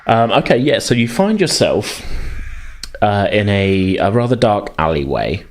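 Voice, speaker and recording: A man with a fairly low voice runs a tabletop roleplaying game. He improvises his narration in hesitant fragments, circling and qualifying as he describes each scene. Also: big pitch swings, measured pace. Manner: hesitant, heavily hedged, piecemeal phrasing. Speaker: game master.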